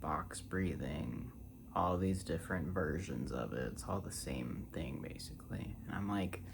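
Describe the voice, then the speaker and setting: Young guy in his 20s doing soft spoken asmr, deep crackly voice, kinda breathy and meandering, noise behind him. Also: expressive, normal speed. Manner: half-whispered, meandering, casual. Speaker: ASMR creator.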